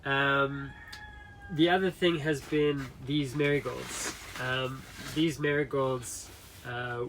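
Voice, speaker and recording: A man with a nasal voice giving a relaxed, rambling tour of his garden and polytunnel, with lots of ums, recorded on a camera mic outside. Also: mid-range voice, low-quality recording. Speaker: gardener vlogger. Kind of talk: casual garden tour walkthrough. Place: outdoor garden, then a polytunnel. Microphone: camera mic catching wind outdoors. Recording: wind noise on the mic outdoors.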